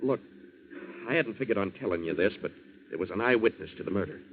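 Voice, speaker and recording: Young male dockside tough, blunt and slangy, swings widely in pitch, vintage radio drama, muffled sound. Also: lively intonation, fast talker, slight background noise. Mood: disbelieving. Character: hot-headed, tough young waterfront man, decent and self-blaming. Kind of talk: 1940s radio drama acting. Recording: dull 1940s radio recording.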